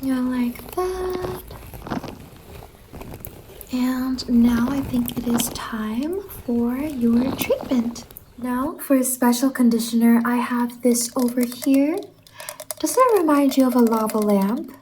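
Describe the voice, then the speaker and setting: Slow, soft-spoken woman, gentle ASMR roleplay. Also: sits high, background noise. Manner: gentle, reassuring, addresses listener as a client. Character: friendly whimsical female stylist in a dream-world salon.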